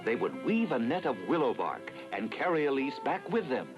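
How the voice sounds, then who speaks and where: A man narrating a cartoon fairy tale in a dramatic, expressive storytelling style over loud music. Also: medium pitch, normal speed, highs cut off.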